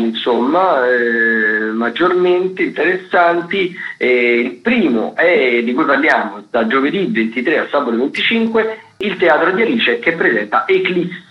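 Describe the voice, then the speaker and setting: A man works through theatre listings as a radio contributor. He is chatty and informal, often hesitating and rephrasing. His pitch is average, and the sound is dull and band-limited. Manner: chatty, hesitant, self-correcting. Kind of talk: informal spoken listings rundown.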